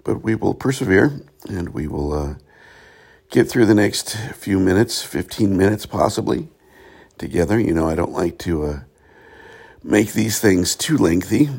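A hoarse middle-aged man hosting a reflective spiritual talk show, speaking in a halting way with many pauses. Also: sits low, lively intonation, darkish tone. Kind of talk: reflective solo monologue.

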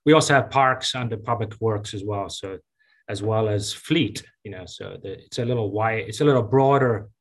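A man in his fifties from Zambia, a county engineer giving relaxed, chatty interview answers with many fillers and restarts. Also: lowish voice, normal speed. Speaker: county engineer and public works director.

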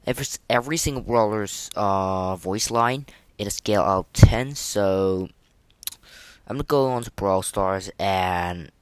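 Guy doing a casual gaming podcast, slow n halting, keeps restarting sentences, dry close sound. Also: on the low side, smooth, no echo. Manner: halting, repeats and restarts phrases. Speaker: podcast host.

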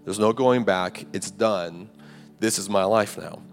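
A man preaching a conversational sermon to a campus ministry, his voice raspy and a little hoarse. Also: fairly low pitch, a little slow. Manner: conversational preaching with rhetorical "right?" and "like". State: hoarse, clearing throat. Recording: live hall recording, long reverb, faint music.

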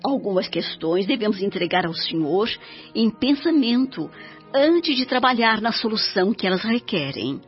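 A woman playing a serene, devoted older mother spirit in a radio drama, speaking in a solemn, measured, instructive way. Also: mid-range voice, sing-song, lightly acted. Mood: soothing, guiding. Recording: dull radio drama track with music.

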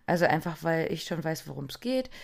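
A woman's voice: low voice, lively intonation, brisk, vocal fry. A woman co-hosting a podcast, chatty and casual, with frequent fillers and laughter. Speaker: podcast co-host. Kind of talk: casual chat.

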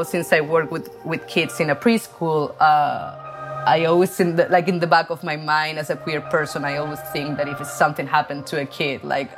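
Low-voiced Chilean woman, halting speech. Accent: Chilean Spanish. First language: Spanish. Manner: halting, many fillers and restarts. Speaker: artist. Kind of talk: interview monologue about her art.

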